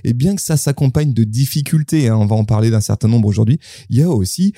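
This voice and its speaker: A man's voice: mid-range voice, quick pace, mellow, breathy edge, dry recording, clean studio sound. A man co-hosting a marketing podcast, who asks questions and often adds a tag "hein". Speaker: podcast co-host. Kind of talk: casual marketing podcast chat.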